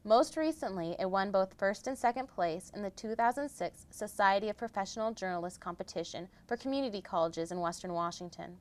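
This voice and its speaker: A woman's voice: mid-range voice, fairly quick, hardly any pauses. A young woman, a student newspaper editor, giving a scripted promotional presentation. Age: young adult.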